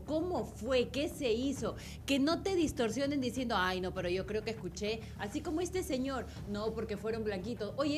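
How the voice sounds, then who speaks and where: A woman's voice: a little high, a little hiss or hum. A woman with a Peruvian Spanish accent co-hosting a political talk show, speaking earnestly and emphatically in casual discussion.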